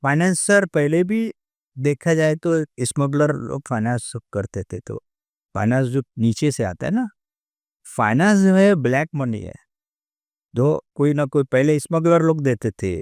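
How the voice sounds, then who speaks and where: An Indian man explains his views as a guest in a conversation. His voice is medium in pitch, very clean and resonant, with a dry, close sound. He is emphatic and circles back on key phrases. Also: lively intonation, measured pace, vocal fry, a little mellow, dry recording. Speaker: interview guest, author.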